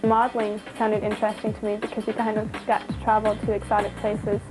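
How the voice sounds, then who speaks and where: A woman's voice: medium pitch, completely flat delivery, brisk, over music, rough recording. An eighteen-year-old woman, a model from Germany raised in Vienna, talking in a chatty, gushing way for a reality show. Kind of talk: casual interview and house tour.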